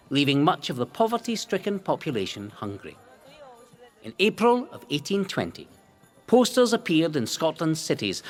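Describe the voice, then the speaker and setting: Guy narrating a history piece, dramatic n measured, slightly high voice, really animated pitch, bit of music behind. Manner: dramatic, measured storytelling with emphatic phrasing. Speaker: narrator of a local history series. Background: music bed.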